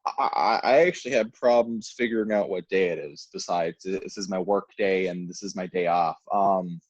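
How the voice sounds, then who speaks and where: A man's voice: low-pitched, normal speed, no echo, lo-fi sound. A man in his twenties in casual chat, stammering and mostly agreeing. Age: 20s. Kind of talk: casual podcast banter.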